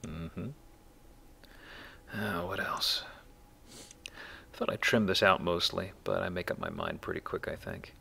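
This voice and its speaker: Male game commentator, low and creaky with a forceful edge; wry, casual, joking asides. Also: big pitch swings, a little slow, pauses often. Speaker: let's play host and player.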